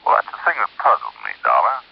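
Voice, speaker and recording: Very deep, husky folksy police lieutenant. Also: male voice, wildly swooping pitch, full character voice. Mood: courteous, folksy. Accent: American. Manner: folksy, measured. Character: folksy, dutiful male police lieutenant, plainspoken and courteous. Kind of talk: 1950s radio drama acting. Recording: muffled old radio recording.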